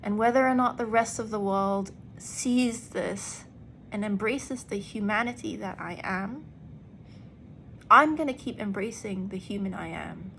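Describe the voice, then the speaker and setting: A woman actor talks slowly and earnestly in a personal vlog, pausing between phrases for emphasis. Her voice sits at a middle pitch. Faint background noise runs under her speech. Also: fairly bright tone. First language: English. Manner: earnest, deliberate, with emphatic pauses. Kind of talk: reflective personal vlog monologue.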